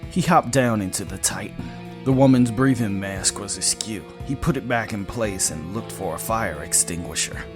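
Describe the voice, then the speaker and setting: A man's voice: lowish voice, normal speed. A man reading scripted, dramatic science fiction narration as a storyteller, with music under his voice.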